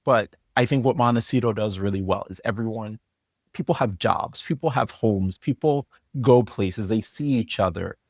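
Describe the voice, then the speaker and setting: A man's voice: on the low side, moderate pace, no room sound, clean studio sound. A man chatting casually as an interview guest, explaining things as a story editor would.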